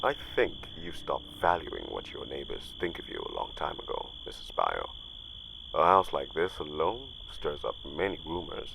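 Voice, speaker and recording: A man voicing a cynical, world-weary crooked police detective, narrating an animated noir storybook in a brooding, deliberate, gravelly way. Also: very deep voice, noisy background, heavily acted. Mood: sardonic. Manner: brooding, hard-boiled, deliberate. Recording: music bed behind the voice.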